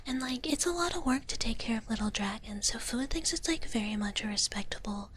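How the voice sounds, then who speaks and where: A woman whispering softly and breathily in a cutesy ASMR roleplay as a cozy dragon big sister, chatting with viewers close to the mic. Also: on the high side, animated, fairly quick. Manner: cutesy, speaks of herself in the third person. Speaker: ASMR livestream host.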